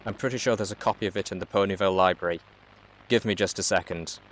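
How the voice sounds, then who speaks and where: A man's voice: sits low, fast, fairly bright tone, a little hiss or hum, rough recording. A man voicing a mysterious hacker who speaks through a robot in a storybook reading, a blunt, helpful guide with a casual, matter-of-fact manner. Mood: advising.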